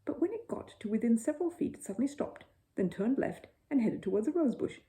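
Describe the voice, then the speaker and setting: A woman reading a children's bedtime story as an expressive narrator. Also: a little high, fairly quick.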